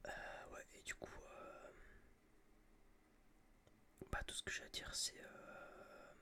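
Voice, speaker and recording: A young man whispering a casual, slangy, rambling review in breathy ASMR style, close to a binaural microphone. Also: slow pace, pushed and bright. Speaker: ASMR video creator.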